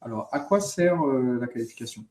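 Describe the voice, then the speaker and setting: A man's voice: medium pitch, expressive, fairly quick, a little mellow. A man hosting a business training course, explaining and asking questions in a conversational way as he thinks aloud. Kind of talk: conversational teaching, interviewing a colleague.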